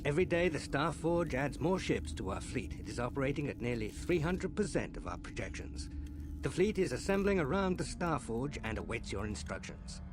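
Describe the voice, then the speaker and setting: A dutiful imperial-style officer in a video game, played by a man. His medium-pitched voice moves in lively contours. He reports formally, in clipped phrases. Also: moderate pace, noisy background, close to an everyday voice. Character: dutiful male Sith fleet officer reporting.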